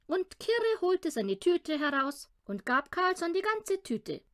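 A woman reading a children's audiobook with expressive storytelling narration. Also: extremely high pitch, slow pace. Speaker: audiobook reader.

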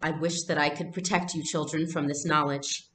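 A woman voicing a troubled, gentle father in a storybook, speaking quietly and gravely. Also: low voice, steady tone, normal speed, slight background noise.

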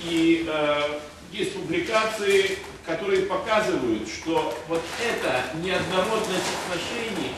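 An older male sociologist at a roundtable in a conference room, lecturing with hesitant pauses. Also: sits high. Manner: lecturing, hesitant with many "э" fillers, shows charts. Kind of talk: panel discussion. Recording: echoey room from far off, noisy.

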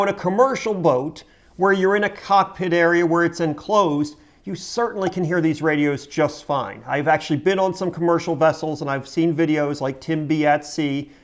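A man's voice: a little high, normal speed. A middle-aged man narrating an explanatory vlog as its host, in a plain-spoken, emphatic way. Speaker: host of a boating channel.

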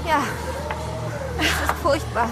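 An actress playing a tough, urgent witness on the run in a German audio drama, speaking tersely. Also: female voice, sits high, takes it slowly, loud music behind the voice, a loud crowd. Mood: tense, urgent. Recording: clearly noisy ambient effects behind voice.

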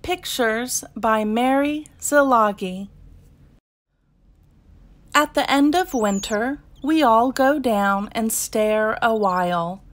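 Lady reading a kids picture book out loud, really slow and deliberate, voice a bit on the high side. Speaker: storybook reader. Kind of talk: scripted read-aloud narration of a children's picture book.

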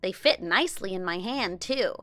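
A woman in her thirties hosting a children's craft show, narrating an experiment in an expressive, child-friendly way. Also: medium pitch, moderate pace. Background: background music.

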